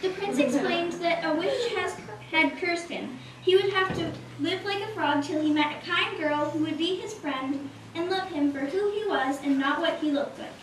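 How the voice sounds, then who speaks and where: A woman with a very high voice narrates a scripted fairy tale. She sounds far from the mic in an echoey hall, with noise behind her. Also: normal speed.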